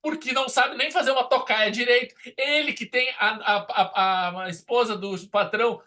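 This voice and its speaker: A man's voice: very high voice, moderate pace, sharp tone. Emphatic southern Brazilian man chatting film. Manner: emphatic, colloquial storytelling. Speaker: film podcast host. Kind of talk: casual, opinionated film discussion.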